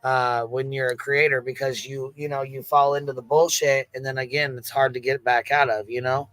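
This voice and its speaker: A man's voice: medium pitch, level tone, moderate pace, fairly bright tone, no room sound. A man hosting a casual livestream chat, speaking in a blunt, sweary way. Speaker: host of an open-panel livestream.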